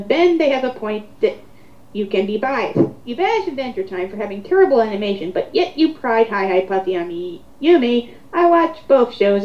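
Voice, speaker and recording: Mellow woman co-host in a roomy space. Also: mid-range voice. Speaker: co-host reading out online comments. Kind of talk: casual commentary with read-aloud comments. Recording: roomy, dull lo-fi home recording.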